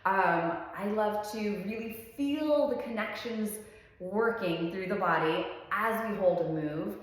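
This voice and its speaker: A woman pilates instructor in her thirties, originally from France, chats through her story at an easy pace, filling pauses with ums. Her voice sits at a middle pitch and rings out in a very echoey room with a long reverb tail. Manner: easygoing, frequent ums, warm enthusiasm. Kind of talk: relaxed personal introduction.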